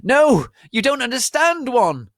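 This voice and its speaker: A man's voice: very high-pitched, big pitch swings, measured pace, fairly bright tone, no echo, studio quality, pitched above the performer's own voice, full character voice. A man voicing a distraught, pleading teenage wizard boy in a shrieking, melodramatic storybook reading. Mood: desperate screaming.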